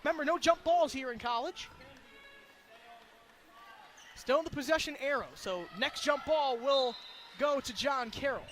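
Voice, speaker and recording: A man doing excited, projected radio play-by-play of basketball in short, clipped, rapid-fire phrases. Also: very high voice, soft background music. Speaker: play-by-play commentator. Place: indoor basketball arena. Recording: loud crowd and gym noise. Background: crowd noise and bouncing basketball.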